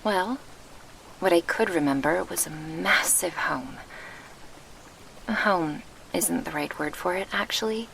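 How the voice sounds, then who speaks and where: A gentle, grieving succubus guardian, acted by a woman in an ASMR roleplay. The voice is fairly low and soft, with a gravelly creak under measured, old-fashioned diction. It sits close on a binaural mic over faint background noise. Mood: wistful, reflective. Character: gentle, wistful female succubus guardian, grieving her lost past. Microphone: close binaural microphone.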